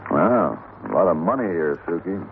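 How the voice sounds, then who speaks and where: Self-assured, urbane gunfighter for radio western, deep measured delivery, noisy vintage recording. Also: male voice, animated, narrow, tinny audio. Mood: dry, assured. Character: cool, calculating male hired gunfighter, mature and self-assured. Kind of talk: 1950s western radio acting and narration.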